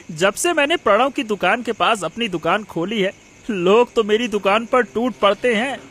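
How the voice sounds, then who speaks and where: A man's voice: sits high, moderate pace, a bit bright. A man voicing a gloating, crooked village shopkeeper with a smug, mocking manner in a cartoon. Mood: smug, gleeful. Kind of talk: cartoon story character acting. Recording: music under the voice.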